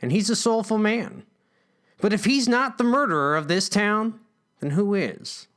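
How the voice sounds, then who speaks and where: A man's voice: high-pitched, animated, measured pace, a bit bright. A man voicing a smug, menacing older brother in a comic story, theatrical and conspiratorial. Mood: brooding, conspiratorial. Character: menacing, smug bully older brother telling dark hunches.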